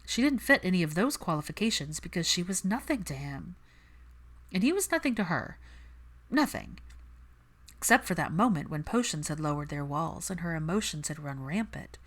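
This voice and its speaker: Female fiction narrator: slightly low register, lively melodic range, expressive story reading. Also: normal speed. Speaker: audiobook narrator.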